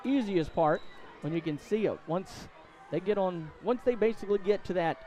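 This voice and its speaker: A man doing excitable live play-by-play commentary of a high school football game, outdoors at the stadium. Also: normal speed, some chatter behind. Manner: excitable, voice climbs to shouts on big plays. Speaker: play-by-play sports announcer.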